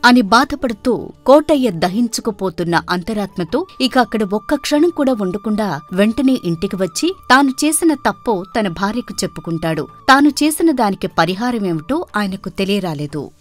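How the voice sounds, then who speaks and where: A woman's voice: medium pitch, animated, normal speed, faint music behind. A woman storyteller reading a scripted moral tale as narrator.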